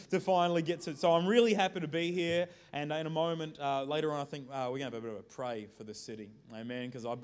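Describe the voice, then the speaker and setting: An Australian man giving an informal sermon as a visiting preacher, casual and joking, halting at times and moving between soft and loud. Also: mid-range voice.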